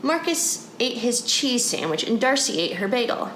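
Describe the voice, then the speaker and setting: A woman's voice: on the high side, sing-song, moderate pace, echoey room. A woman reading a children's storybook aloud as a narrator. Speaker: story reader. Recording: clear background noise.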